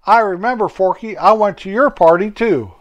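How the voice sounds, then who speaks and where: A man voicing a soft-spoken, hesitant young boy in a children's story. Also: high-pitched, moderate pace, muffled recording, close to an everyday voice. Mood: shy. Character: shy, gentle young boy turning eight.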